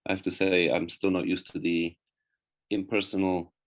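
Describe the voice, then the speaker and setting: A man from the Czech Republic giving an informal talk as a guest medical presenter in an online meeting, speaking haltingly with pauses mid-phrase. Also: low voice, flat delivery.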